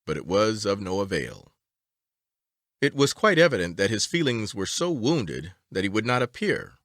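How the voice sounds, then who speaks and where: Low-pitched man narrating, very lively intonation. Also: no echo, clean studio sound. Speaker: audiobook narrator. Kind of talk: scripted story narration.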